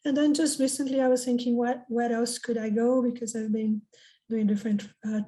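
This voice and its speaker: A woman's voice: fairly high pitch, little pitch movement, fairly quick, dark tone. A woman with a Brazilian Portuguese accent, a native Portuguese speaker, giving friendly, chatty opening remarks as a conference presenter over a video call, with some fillers and restarts. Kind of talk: informal remarks before an academic paper. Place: home or office in North Carolina.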